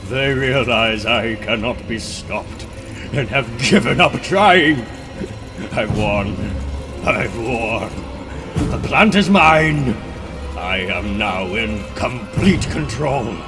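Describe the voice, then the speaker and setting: Man playing a grandiose, slow-talking comic book supervillain. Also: medium pitch, expressive, music in the background. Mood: triumphant. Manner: grandiose, menacing, theatrical. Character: boastful megalomaniac supervillain scientist with mechanical arms. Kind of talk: comic book dramatic reading.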